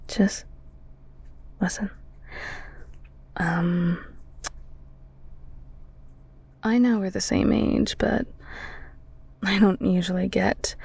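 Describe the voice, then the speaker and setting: Woman playing a husky, creaky-voiced flirty ghoul chef. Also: mid-range voice, heavily acted. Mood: awkward, intimate. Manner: soft, breathy, halting, teasing. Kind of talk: intimate audio roleplay, spoken to the listener.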